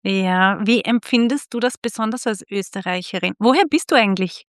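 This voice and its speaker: A middle-aged woman from Austria hosting a casual interview, chatty and quick to laugh, often restarting her sentences. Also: medium pitch, no echo, studio quality. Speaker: podcast host and club founder.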